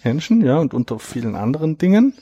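A man in his thirties, a guest in an interview, explaining at length in a reflective, measured way with a gravelly, husky voice. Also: a little high, big pitch swings, warm tone, highs cut off. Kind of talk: conversational interview.

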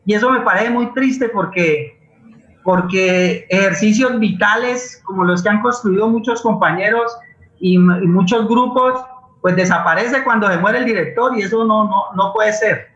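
A man's voice: high voice, normal speed, a bit bright, echoey room, dull audio. A middle-aged man with a Colombian accent, speaking earnestly and emphatically in an impassioned conversational style as a guest at a radio forum. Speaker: playwright and community theatre leader, interview guest.

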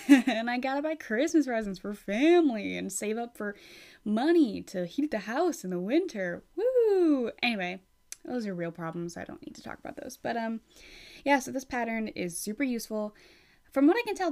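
A woman hosts her own podcast in loose, casual chat. She rambles, circles back and restarts her thoughts. She is frank and talkative, swears now and then, and her voice sits at a middling pitch. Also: a little mellow. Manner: rambling, with many fillers and restarts. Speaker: podcast host.

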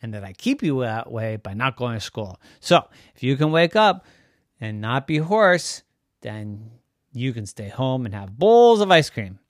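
A man voicing a playful, easygoing dad who talks in a raised, sing-song, animated way. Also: medium pitch, unhurried, dry recording, clean studio sound.

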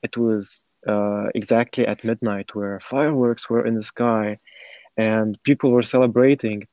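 A man tells personal stories as an interview guest. His voice sits a little low and he speaks haltingly and reflectively. The recording is dry and somewhat dull. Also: steady tone, darkish tone, dry recording. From Syria. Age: 20s. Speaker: podcast guest, former startup program participant. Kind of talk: conversational personal storytelling in an interview.

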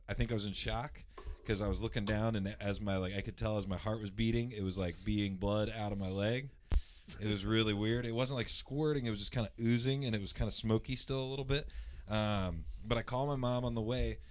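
A man from the Indianapolis area with a naturally loud voice, casually telling a long personal story, rambling with lots of fillers and restarts and clearing his throat. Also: fairly low pitch, a little fast, slight background noise. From Indianapolis area, USA.

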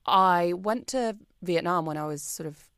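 A British woman being interviewed on a podcast, talking in a chatty, expressive way with plenty of ums and likes. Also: on the low side, measured pace, no room sound. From Britain. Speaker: podcast guest. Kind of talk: casual interview chat.

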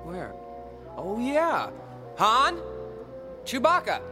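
A man's voice: very high-pitched, wildly swooping pitch, extremely slow, music in the background, full character voice. A man playing an eager, confident young farm-boy pilot in a radio drama, earnest and boyish. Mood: brisk, excited.

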